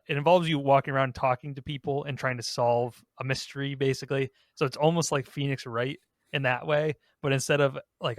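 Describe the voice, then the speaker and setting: A man with a mid-pitched voice in casual conversation, recorded dry with no room sound. He talks like a podcast co-host chatting about games with friends. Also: dry recording.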